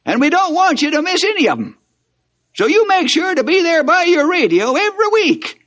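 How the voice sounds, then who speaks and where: Old-timer sidekick for radio western, very high voice, animated, rustic and chummy. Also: male voice, normal speed, full character voice. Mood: friendly, folksy. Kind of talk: old-time radio character acting.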